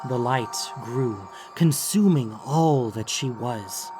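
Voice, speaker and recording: Slow, solemn male storyteller. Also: mid-range voice, expressive, mellow. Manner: solemn, dramatic, deliberate. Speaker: narrator. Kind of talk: scripted fantasy audiobook narration. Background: music.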